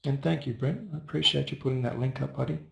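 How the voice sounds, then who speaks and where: A man's voice: medium pitch, fairly even intonation, fast talker, creaky, a little mellow. A man hosting a casual live trading webinar, chatting loosely and answering viewers by first name. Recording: roomy echo.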